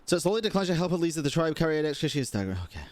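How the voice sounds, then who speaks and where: A man's voice: a little high, sing-song, rapid-fire. A man streaming live game reaction commentary, blunt and sweary.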